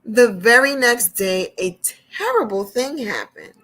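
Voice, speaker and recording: A woman hosting a children's storytime, reading deliberately and emphatically, with chatty asides. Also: on the high side, big pitch swings, fairly bright tone, low-quality recording. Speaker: storytime host and reader.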